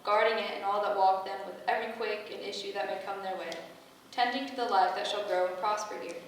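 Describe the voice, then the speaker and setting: A woman's voice: medium pitch, steady tone, fast talker, lightly acted. A woman playing a benevolent, motherly goddess with formal, ceremonial oratory in a staged play reading. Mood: solemn. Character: benevolent motherly female goddess, grand and solemn, gathering her heralds. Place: echoey indoor venue at a staged reading. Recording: very echoey room from far off, faint noise.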